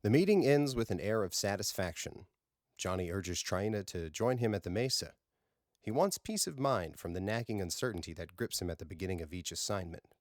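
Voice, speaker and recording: Man, audiobook narrator, low voice, close dry sound, scripted story reading. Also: animated, brisk, no echo.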